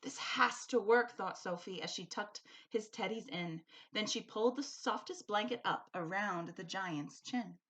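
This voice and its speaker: A woman's voice: medium pitch, unhurried, hardly any pauses. A woman teacher speaking expressively and emphatically, as in a storybook read-aloud for a school lesson. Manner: animated, emphatic.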